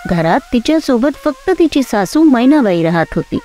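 A woman reading a scripted moral story in a plain, steady way. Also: fairly high pitch, normal speed, soft background music. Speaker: narrator.

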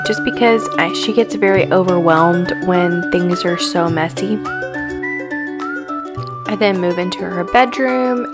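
Young woman narrating over background music. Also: medium pitch. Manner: even, steady narration. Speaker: lifestyle vlogger.

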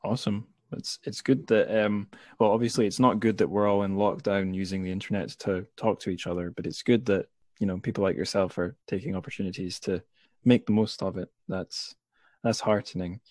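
Low-pitched man hosting a casual podcast. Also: fairly even intonation, a little fast, a little mellow, no echo, studio quality. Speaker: podcast host, interviewer. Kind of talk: casual conversational interview hosting.

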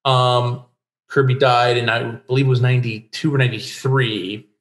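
A man's voice: medium pitch, little pitch movement, moderate pace, a bit bright. A middle-aged American man explaining things as a guest in a casual interview, talking in a discursive, informative way. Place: home room lined with bookshelves. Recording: roomy home video call.

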